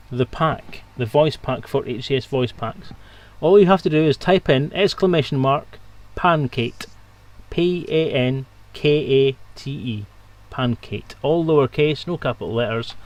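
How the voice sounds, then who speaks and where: A man's voice: medium pitch, a little mellow, rough recording. A man hosting a livestream with casual, jokey banter. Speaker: stream host.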